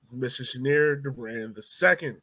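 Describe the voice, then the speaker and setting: Guy hosting a soccer fan podcast, medium pitch, animated and jokey, super casual. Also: moderate pace. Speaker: sports podcast host.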